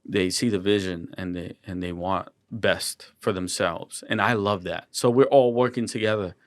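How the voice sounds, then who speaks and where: A man's voice: deep voice, fairly even intonation, moderate pace, no room sound, clean studio sound. A young man, a guest artist, talking earnestly in a casual interview, often restarting and repeating words. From Colombian and Ecuadorian heritage.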